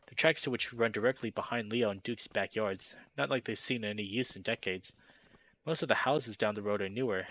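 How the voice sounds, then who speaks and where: A man hosting a let's play, reading a visual novel aloud in steady narration with animated, jokey asides. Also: on the low side, dull audio.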